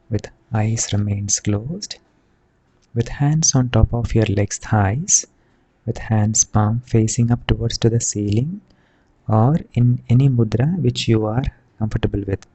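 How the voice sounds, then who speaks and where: A man leads a guided meditation as a teacher, speaking soothingly and deliberately in short phrases with long pauses. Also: fairly low pitch, mellow, breathy edge. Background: background music.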